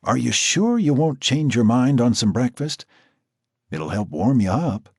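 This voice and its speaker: A calm, steady grown man, voiced by a male narrator, firm but quiet as he asks questions one by one. His voice is a little husky, with lively pitch. The sound is dry and close. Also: measured pace, dry recording, exaggerated voice.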